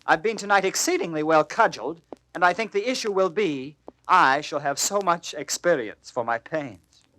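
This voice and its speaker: A gullible young gentleman in a Shakespeare audio drama. The voice is fairly high for a man, plaintive and full of grievance, with theatrical phrasing. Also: expressive, normal speed, low-quality recording. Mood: aggrieved complaining. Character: Roderigo, foolish young gentleman dupe, aggrieved and complaining. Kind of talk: classical stage Shakespeare acting.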